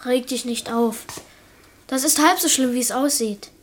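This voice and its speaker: A female performer as cocky, high-voiced schoolboy. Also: normal speed, a little hiss or hum, lightly acted. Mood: nonchalant. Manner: offhand, cocky, bossy. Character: cheeky schoolboy, bossy older brother. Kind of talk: radio play acting.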